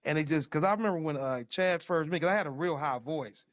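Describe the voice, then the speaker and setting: A man in his fifties, a former football player, telling old team stories in a lively, laughing way. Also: on the high side, fast, no echo, studio quality. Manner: animated storyteller with laughs. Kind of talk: casual reminiscing conversation.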